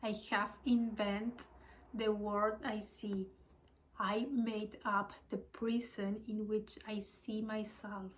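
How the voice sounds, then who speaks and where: A woman whose first language is Spanish, with a Spanish accent, reading spiritual lessons slowly and hesitantly, adding her own reflections. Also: medium pitch, level tone, slight background noise, low-quality recording. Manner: hesitant, apologetic, with small laughs and restarts.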